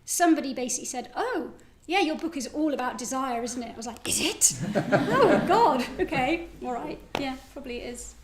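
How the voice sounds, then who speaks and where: A woman with a high voice speaks as a guest poet in conversation on stage. She is animated and self-deprecating, with a wry turn and a readiness to laugh. The room is clearly echoey. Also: fairly quick, few pauses, heavy background noise. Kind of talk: live on-stage conversation about writing.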